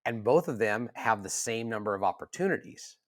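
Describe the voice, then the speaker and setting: A man's voice: lowish voice, expressive, brisk, dry recording, studio quality. A man co-hosting a casual podcast conversation, talking earnestly and animatedly, often restarting and repeating words as he works through his ideas. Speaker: podcast co-host.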